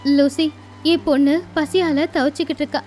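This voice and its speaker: A poor mother sparrow voiced by a woman in a cartoon fable, tender and anxious. The pitch is high, and soft music plays behind. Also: fairly even intonation, normal speed, close to an everyday voice. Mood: pleading. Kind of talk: cartoon moral story acting.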